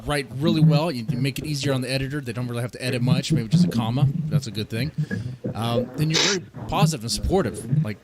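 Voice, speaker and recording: Host on a very noisy line. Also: male voice, medium pitch, fast talker. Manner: encouraging, informal, repeats himself. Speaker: talk show host. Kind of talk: casual panel interview. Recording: very noisy call with clicks.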